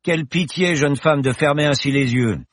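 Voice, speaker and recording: A showy old professor, put on by a male audiobook narrator, talks loud and high above the narrator's own voice, lively and full of himself. The voice is a little husky, with dry close sound. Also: moderate pace, no room sound, lightly acted. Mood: boastful. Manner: jovial, showy, lofty speech. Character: boastful eccentric older male professor pilot.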